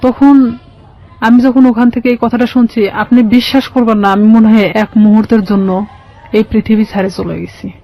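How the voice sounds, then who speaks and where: A woman's voice: fairly high pitch, fairly even intonation, normal speed, dull audio, some chatter behind. A woman with a Bangladeshi accent telling her own life story as an interview guest, colloquially, quoting conversations as she goes. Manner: colloquial, recounts conversations with quoted dialogue. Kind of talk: personal testimony in an interview.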